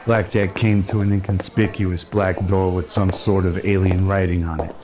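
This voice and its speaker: A man's voice: low-pitched, level tone, moderate pace, noisy background. A man reading scripted narration for an audio drama. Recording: music under the voice. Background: music.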